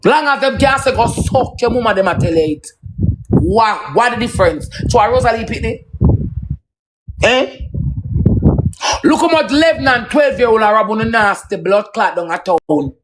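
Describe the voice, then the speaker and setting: A man's voice: high voice, animated, moderate pace, long pauses, noisy background. A man with a Jamaican accent in a combative, profane, emphatic unscripted rant on a livestream. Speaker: social media live streamer.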